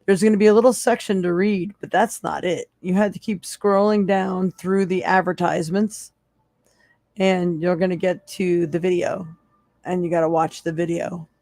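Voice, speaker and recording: A woman hosting a live psychic tarot stream, hoarse from losing her voice, chatting casually with viewers and laughing often. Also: fairly low pitch, steady tone, moderate pace, darkish tone, no echo, studio quality.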